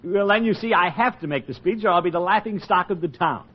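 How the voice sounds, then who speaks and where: An actor playing a pompous, scheming middle-aged uncle in 1940s radio comedy style, blustery and theatrical with sly chuckles. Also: male voice, high-pitched, animated, fast talker. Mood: pleading. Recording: muffled old-time radio recording.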